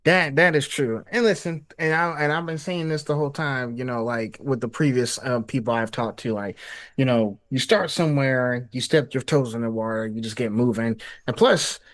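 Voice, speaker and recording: A man's voice: mid-range voice, moderate pace, fairly bright tone. A man hosting a casual interview podcast, talking in a rambling, enthusiastic way with many fillers. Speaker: podcast host.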